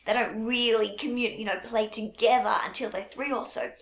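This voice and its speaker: A middle-aged woman, a French teacher for young children, talking straight to camera in a warm, reassuring storytelling way. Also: on the high side, steady tone, moderate pace. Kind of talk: casual direct-to-camera talk. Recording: echoey room, dull lo-fi sound.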